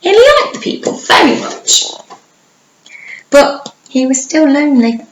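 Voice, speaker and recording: A woman reading a children's story aloud like a primary teacher, with dramatic pauses and drawn-out words for young listeners. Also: high voice, very animated. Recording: echoey room, faint background noise.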